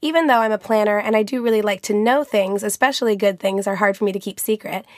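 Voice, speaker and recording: A young woman co-hosting a podcast, hoarse from being sick, chatting casually and reading listener mail aloud. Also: medium pitch, fast talker, no echo, clean studio sound. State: hoarse, losing her voice from illness. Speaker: podcast co-host.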